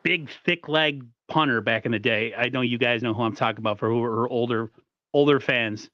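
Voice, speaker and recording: A man in his forties co-hosts a casual sports chat with a fairly average pitch. He rambles and jokes in loose slang. The audio is dry and a little dull. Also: a little fast, no room sound. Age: 40s. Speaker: sports show co-host.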